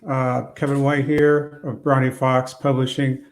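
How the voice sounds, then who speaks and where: A man's voice: medium pitch, flat delivery, measured pace. A man hosting an informal online panel talk, speaking in a hesitant, casual way. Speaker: podcast host. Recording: roomy, echoing sound.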